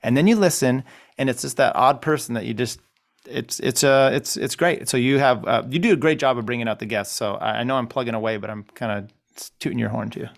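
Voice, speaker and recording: Gravelly guest man, halting talk. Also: mid-range voice. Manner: rambling, halting, with many restarts. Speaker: guest, family law attorney. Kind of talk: casual podcast chat. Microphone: remote call.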